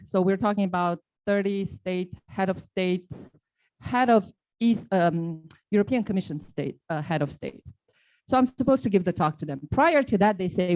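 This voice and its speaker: A woman's voice: fairly low pitch, darkish tone, no echo, lo-fi sound. A woman from Romania, a professor on a business panel, telling a story with rising, incredulous emphasis.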